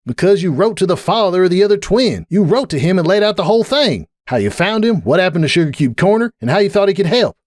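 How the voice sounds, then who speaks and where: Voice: medium pitch, expressive, fast talker, few pauses, a little mellow, dry recording, studio quality, pitched above the performer's own voice, close to an everyday voice. A reader as a blunt country mare. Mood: accusing. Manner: folksy, blunt. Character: plain-spoken country mare, blunt, defensive.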